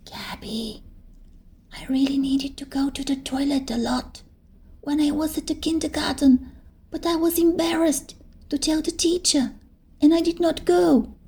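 A woman's voice: high voice, fairly even intonation, unhurried, a little mellow, pitched above the performer's own voice. A woman voicing a shy, stubborn kindergarten-age girl in a children's story, in a pleading childlike manner. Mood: embarrassed.

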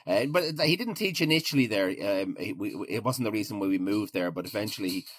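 Low-voiced, hesitant Irish man. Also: expressive, no room sound, studio quality. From Ireland. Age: 40s. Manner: hesitant, halting, repeats words. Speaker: interview guest, restaurateur. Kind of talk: casual interview conversation.

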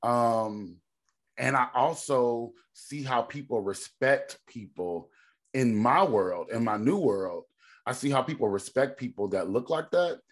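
Deep-voiced man in his thirties, podcast guest, reflective and unhurried, mid-pitched speech full of ums and restarts. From Texas. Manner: thinks aloud, many ums and restarts. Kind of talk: reflective conversational storytelling.

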